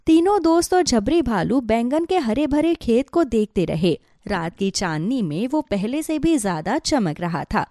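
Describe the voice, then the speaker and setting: A woman's voice: on the high side, sing-song, unhurried, few pauses, mellow, dry recording, clean studio sound. A woman narrating a scripted children's animal story.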